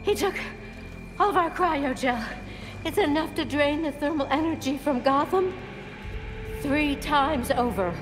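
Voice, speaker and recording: A rattled, grateful scientist in a superhero game. Her voice is high, with music playing clearly behind it. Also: a little slow. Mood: worried. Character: shaken, grateful female scientist caller. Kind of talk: video game cutscene acting.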